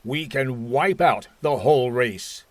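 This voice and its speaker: A man's voice: medium pitch, lively intonation, slow, no echo. A man playing a belligerent army colonel in a science fiction radio drama, authoritative and used to giving clipped orders. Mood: belligerent, triumphant. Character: hawkish army colonel, commanding, suspicious and belligerent. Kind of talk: old-time radio science fiction acting.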